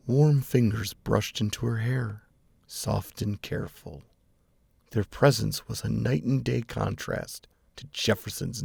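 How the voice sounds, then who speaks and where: A man reading scripted dramatic fiction as narrator, in a measured, grave manner. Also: on the low side, vocal fry, a little mellow, breathy edge, dry recording, clean studio sound. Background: music.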